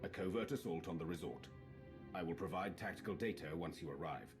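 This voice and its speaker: An actor playing a grave, dutiful Imperial officer in a video game, speaking formally and solemnly. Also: male voice, sits low, fairly quick, soft background music. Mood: grave, resolute. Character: grave, dutiful male Imperial Sith officer briefing troops. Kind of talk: cinematic video game dialogue.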